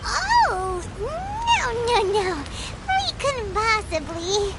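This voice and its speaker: A woman voicing a tiny, excitable floating companion in a video game, with a squeaky, bouncy and exclamatory way of talking. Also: extremely slow, full character voice. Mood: flustered. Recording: loud noise and game music.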